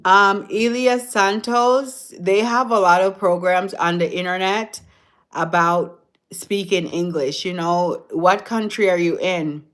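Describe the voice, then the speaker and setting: A woman's voice: on the low side, fairly even intonation, takes it slowly, a bit bright. A Jamaican woman hosting a casual livestream, chatting with viewers, answering their comments and laughing often. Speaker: livestream host. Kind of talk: casual live-stream chat with viewers.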